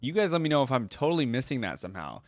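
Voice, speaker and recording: A man's voice: medium pitch, big pitch swings, rapid-fire, no room sound, soft background music. A man hosting a reaction video, talking casually and informally as he thinks aloud.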